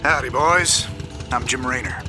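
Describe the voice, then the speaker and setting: A man playing a gruff, laid-back frontier lawman in a video game, with a folksy, defiant Southern American drawl. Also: medium pitch, a little slow, close to an everyday voice. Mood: easygoing. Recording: loud music over the dialogue.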